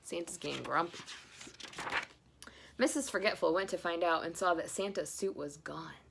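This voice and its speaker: A woman's voice: fairly low pitch, normal speed, gravelly, slight background noise. A woman reading a children's story aloud, with playful asides. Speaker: storybook reader.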